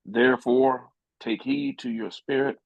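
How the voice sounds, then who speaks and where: A man reading scripture aloud in a measured, solemn way. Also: mid-range voice, steady tone, no room sound. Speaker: scripture reader. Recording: muffled dry lo-fi audio.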